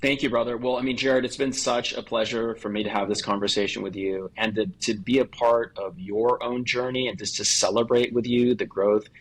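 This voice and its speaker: A man's voice: mid-range voice, fairly even intonation, normal speed, hardly any pauses, breathy edge, rough recording. A man giving conversational expert advice as a podcast guest, explaining things point by point. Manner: explanatory, lists points in series, acts out sample phrases. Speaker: podcast guest, sales coach.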